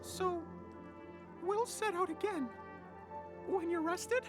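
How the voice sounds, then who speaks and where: A childlike clock creature, a loyal, naive game sidekick. The voice is extremely high and earnest, with unhurried questioning phrases over clear background music. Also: female voice. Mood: eager, fond. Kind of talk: cartoonish video game voice acting.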